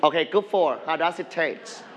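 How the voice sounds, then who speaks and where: A male English teacher in a studio classroom, slowly leading a televised lesson and having students repeat words after him. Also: on the high side, a bit bright.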